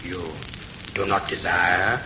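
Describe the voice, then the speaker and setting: A man's voice: sits low, flat delivery, roomy sound. A man playing an eager, fawning French curiosity-shop keeper with a French accent, in 1930s radio drama style. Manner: halting, obsequious salesman's patter. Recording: noisy, muffled 1930s radio recording.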